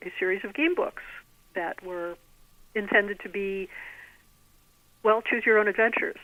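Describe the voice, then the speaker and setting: A woman's voice: medium pitch, no echo. A middle-aged woman, an author giving articulate, bookish, discursive answers in an interview. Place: remote call into a podcast. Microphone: telephone. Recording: narrow tinny phone line.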